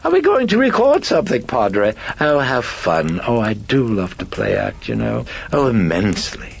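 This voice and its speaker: A man voicing a manic, cheeky, foul-mouthed demonic personality with a hoarse British voice and flamboyant delivery in an audiobook story. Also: medium pitch, big pitch swings, normal speed, voice pushed up. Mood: giddy, playful. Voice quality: hoarse, shrieking, rasping. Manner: flamboyant, giddy, swooping.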